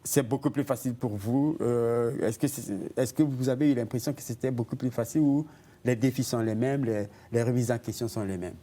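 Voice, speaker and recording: A man hosting an interview, talking haltingly with many fillers and frequent short agreements. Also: mid-range voice, steady tone, dark tone. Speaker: host.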